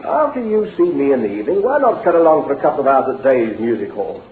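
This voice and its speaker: Man acting a hearty, glib businessman, very animated. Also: medium pitch, fast talker, close to an everyday voice. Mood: jovial, patronising. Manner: hearty, patronising, chuckling. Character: glib, jovial businessman hiding a swindle, falsely encouraging. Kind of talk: old-time radio drama acting. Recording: lo-fi narrow-band radio recording, faint noise, roomy.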